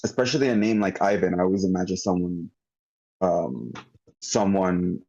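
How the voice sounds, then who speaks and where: Low, mellow, husky man, halting. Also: lo-fi sound. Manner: halting, circling back mid-thought. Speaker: table read participant giving script feedback. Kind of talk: off-the-cuff critique on a group call. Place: online meeting call.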